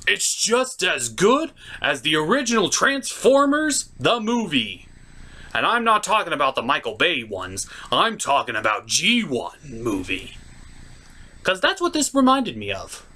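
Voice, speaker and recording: A man's voice: fairly high pitch, bright, forceful tone. A man delivering a scripted review as host, in a theatrical, emphatic way. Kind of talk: scripted review commentary with puppet-show skits.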